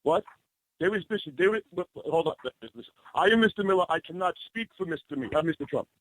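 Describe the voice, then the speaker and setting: A man's voice: fairly high pitch, expressive, a little fast, no room sound, lightly acted, rough recording. A man playing a blustering, flustered campaign staffer on a prank call to a radio show, pompous and stumbling over names. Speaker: prank caller.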